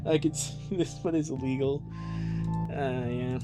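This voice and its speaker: A man's voice: mid-range voice, fairly even intonation, measured pace, over music. A man streaming casually, giggly and joking, often breaking into laughter and mild swearing while he reads and comments. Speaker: streamer reading a fan comic aloud. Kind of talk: casual live stream chat and joking live reading.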